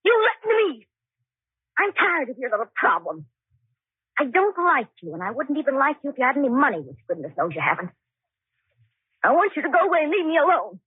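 Casting: guarded, defiant young woman, 1940s radio melodrama; high-pitched, tinny vintage sound. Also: no room sound. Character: wounded, defiant young woman fending off an ex-boyfriend. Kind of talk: 1940s radio drama acting. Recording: tinny, phone-like old 1940s radio recording.

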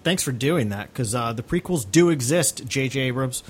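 A man with an average-pitched voice hosts a podcast interview. He chats casually and rambles, restarting as he shapes each question. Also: expressive, moderate pace, slightly rough. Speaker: podcast host.

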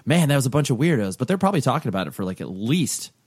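A man's voice: mid-range voice, big pitch swings, very fast, heavy background noise. A man hosting a podcast, chatting casually and rambling with lots of fillers and restarts. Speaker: podcast host. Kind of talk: casual interview chat.

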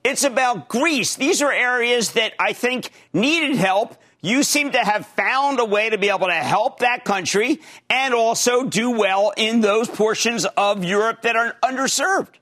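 An older man with a Philadelphia accent, hosting a TV interview in an excitable, emphatic way that swings between high and low. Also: unhurried, hardly any pauses. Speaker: TV host.

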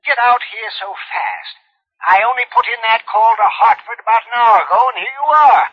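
A husky, high-pitched old eccentric, querulous and easily muddled. He rambles and fires abrupt questions in old radio comedy style, on a dull vintage recording. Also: normal speed. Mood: curious, prying. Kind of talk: 1950s radio comedy-drama acting.

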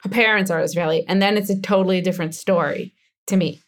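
A woman's voice: lowish voice, steady tone, fast. American woman, show creator, candid and chatty, loose conversational delivery. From United States. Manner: candid, chatty, many "like" fillers. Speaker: show creator and lead actor. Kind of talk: casual interview answers.

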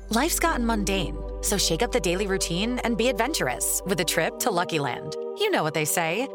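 A woman's voice: medium pitch, lively intonation, fairly quick. Woman, ad announcer, salesy, upbeat, music behind. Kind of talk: scripted commercial read.

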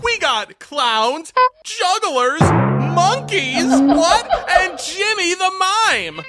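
A podcaster putting on a wild, shouty morning zoo DJ, with a high voice that swoops all over and loud music playing underneath. The patter is cheesy radio hype, delivered like a comedy skit. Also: huge pitch swings, heavily acted. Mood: hyped. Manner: shouty, hyped, rapid-fire patter.

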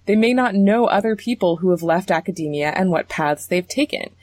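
A young woman hosting an interview, reading a scripted introduction and then asking questions in a clear, steady way. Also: fairly low pitch, brisk, dry recording. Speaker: podcast host and interviewer.

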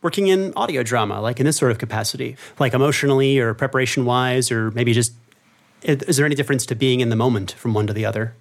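A man's voice: mid-range voice, fast, vocal fry. A man hosting a casual interview, asking questions in a hesitant, roundabout way. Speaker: interviewer and host.

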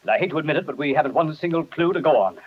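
Harried, stubborn police commissioner, earnest and quick, slightly high voice, old muffled radio drama. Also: male voice, expressive, husky. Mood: worried. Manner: earnest, defensive. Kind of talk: 1930s radio drama acting.

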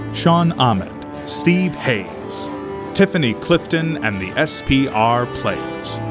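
An actor playing a straight-faced public radio announcer, reading scripted announcements in an orderly, deadpan way. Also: male voice, mid-range voice, lightly acted. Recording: loud music under the voice. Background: loud music.

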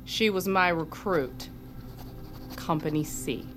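A woman's voice: fairly low pitch, slow. A woman playing a stern, guarded army drill sergeant in an audio drama, curt, formal and defensive. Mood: guarded, dry. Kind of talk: naturalistic audio drama acting.

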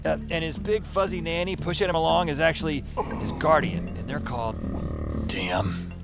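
A man's voice: on the high side, normal speed, gravelly, over music. An actor playing a wry, awkward man who takes calls, in slangy audio drama narration and dialogue. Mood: unsure, eager. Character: wry, awkward male call-taker new to a magical world.

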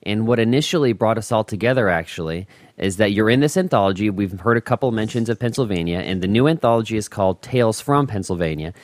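A man's voice: lowish voice, lively intonation, quick pace, no room sound. A man hosting a podcast interview in a casual, conversational style. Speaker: podcast host.

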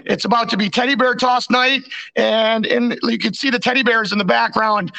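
A man's voice: extremely high pitch, steady tone, moderate pace, creaky, bright, forceful tone. A young man co-hosting a podcast as a former goalie, chatting and telling slangy anecdotes on a dull, lo-fi recording. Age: young adult. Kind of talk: casual chat, anecdotes.

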